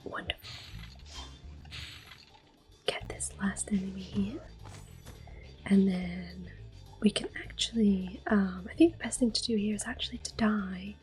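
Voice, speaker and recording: A woman whispering soft-spoken commentary while she plays a video game for an ASMR audience, close to the microphone. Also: medium pitch, steady tone, unhurried, long pauses, faint music behind. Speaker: ASMR gaming host.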